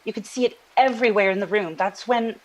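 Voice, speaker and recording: An actress playing a troubled woman who recounts an eerie dream, halting and searching for her words in a naturalistic audio drama style. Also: mid-range voice, close to an everyday voice, poor audio. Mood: earnest, recalling.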